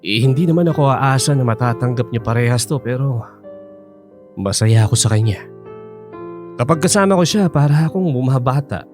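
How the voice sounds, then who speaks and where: A man acting in a radio drama as an earnest, tender older father in love, speaking haltingly. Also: medium pitch, lively intonation, over music, lightly acted. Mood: earnest, pleading.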